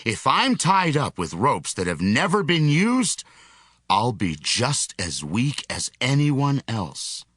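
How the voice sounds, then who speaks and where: An actor playing a strong, teasing biblical hero in an audio drama, with a drawn-out, deliberate delivery. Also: male voice, mid-range voice, big pitch swings, fairly bright tone, no echo, studio quality, full character voice. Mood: slow, teasing. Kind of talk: audio Bible drama acting.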